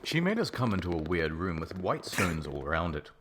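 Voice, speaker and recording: A man's voice: sits low, big pitch swings, fairly quick, fairly bright tone, slight background noise. A man narrating a comic story in a mock-serious, theatrical way. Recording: music behind the voice. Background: music.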